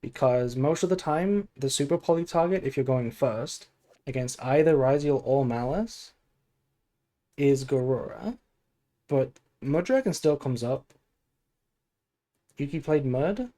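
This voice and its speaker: Male streamer, mid pitch, dark soft tone, drowsy and low-key, casual commentary. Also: expressive, unhurried, pauses often. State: sleepy. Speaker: game streamer. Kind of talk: casual gameplay stream chat and deck analysis.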